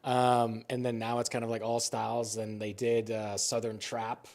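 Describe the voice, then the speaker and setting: A man, a guest in a casual interview, telling anecdotes in an animated, chatty way. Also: medium pitch, moderate pace. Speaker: guest, community arts organizer.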